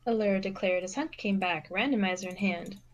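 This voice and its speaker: A woman's voice: mid-range voice, fairly quick, slight background noise. A woman giving scripted narration as the narrator of an amateur fan fiction reading.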